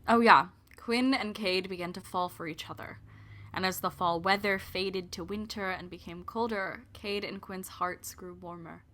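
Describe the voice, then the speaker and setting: A woman's voice: on the low side, fairly even intonation, moderate pace, a bit bright, lightly acted. A young woman playing an emotionally invested, digressive narrator of a romance in an audio drama. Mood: fond, wistful.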